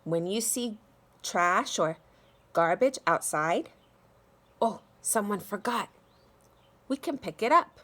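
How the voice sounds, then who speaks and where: A middle-aged woman talking and reading aloud to young children in an expressive teacher's manner. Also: mid-range voice, slow pace, long pauses. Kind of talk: children's read-aloud with chatty introduction. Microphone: phone at arm's length.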